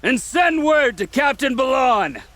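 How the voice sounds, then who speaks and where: An actor playing a jubilant fort guard who shouts orders loudly in a video game. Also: male voice, extremely high pitch, sing-song, a little slow, crowd noise, heavily acted.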